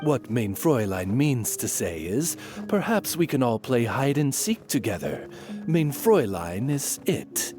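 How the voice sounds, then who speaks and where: A man's voice: medium pitch, sing-song, a little slow. An actor voicing a dignified, deferential male familiar in a game, with formal, courteous speech. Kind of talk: animated game character acting. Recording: music behind the voice.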